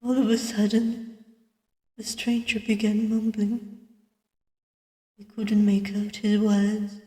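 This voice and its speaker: A woman voicing a reserved, weary detective who narrates a visual novel in a measured, dramatic way. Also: mid-range voice, completely flat delivery, dark tone, lightly acted. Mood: eerie, hushed. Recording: distant mic, echoey long reverb.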